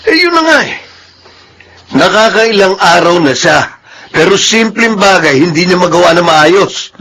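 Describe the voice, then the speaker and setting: Haughty, sharp-voiced Filipino office boss. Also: male voice, sits high, very animated, unhurried, slightly rough, heavily acted. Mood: irritated, scolding. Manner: scolding, haughty, domineering. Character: arrogant, hot-tempered, ambitious male office supervisor. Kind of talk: radio drama acting in Tagalog.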